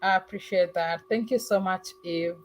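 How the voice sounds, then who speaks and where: A woman's voice: on the low side, fairly even intonation, moderate pace, slight background noise, poor audio. A woman hosting a live stream, chatting casually with callers and thanking them effusively. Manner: warm, effusive thanks, mimics other accents playfully. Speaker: livestream host.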